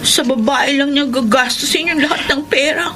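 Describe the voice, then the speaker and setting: A woman's voice: sits high, moderate pace, over music, natural voice. A woman acting an aggrieved, tearful younger sister in a Filipino radio drama, plaintive and protesting. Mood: bitter sadness.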